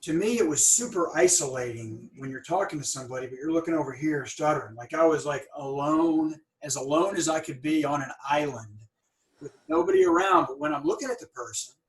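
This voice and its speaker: A man's voice: a little high, lively intonation, a little fast, poor audio. A middle-aged man hosting an interview, talking earnestly and stuttering now and then on words. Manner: earnest, stammers and repeats words. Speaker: podcast host; dentist and practice owner. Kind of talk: conversational interview.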